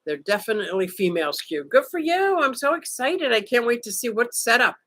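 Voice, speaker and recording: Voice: medium pitch, sing-song, a little fast, sharp tone, no room sound, clean studio sound. A livestream host chatting casually with viewers from a vacation room, joking around and greeting people by name. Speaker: livestream host reading chat. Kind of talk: casual live chat.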